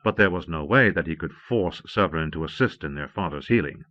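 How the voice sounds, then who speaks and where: A man's voice: rumbling low, expressive, a little fast, bright, forceful tone, dull audio. A man narrating fiction from a script as an audiobook reader, on an old cassette transfer.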